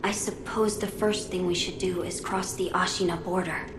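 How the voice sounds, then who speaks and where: A woman's voice: lowish voice, fairly even intonation, normal speed, natural voice. Game role: composed young boy lord, female actor, earnest, formal, roomy sound over ambient noise. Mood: thoughtful, fond. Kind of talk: video game cutscene acting.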